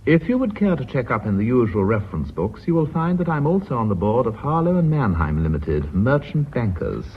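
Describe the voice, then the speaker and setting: British company boss in old radio play, husky, very formal and confident, dull vintage sound. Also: male voice, mid-range voice, very animated. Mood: cool, assertive. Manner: measured, formal, drily ironic. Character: authoritative, coolly confident British businessman and company chairman. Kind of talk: radio drama acting. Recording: muffled vintage radio drama recording.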